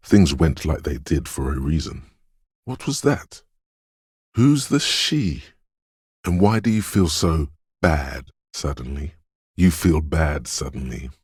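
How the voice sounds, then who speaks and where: A man's voice: a little mellow, no room sound, studio quality, exaggerated voice. A man voicing a dreamy, mystical inner voice of intuition in a video game, narrating in a brooding, theatrical and intimate way. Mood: melancholy, uneasy. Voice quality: very deep, gravelly, husky. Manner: brooding, theatrical, addressing the listener as you.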